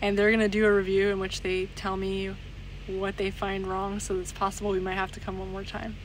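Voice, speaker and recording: A young adult woman chatting casually to camera in a rambling, halting way, often trailing off. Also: medium pitch, monotone. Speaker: vlogger. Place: various spots on the go in Bali. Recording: noisy ambient phone-style vlog recording.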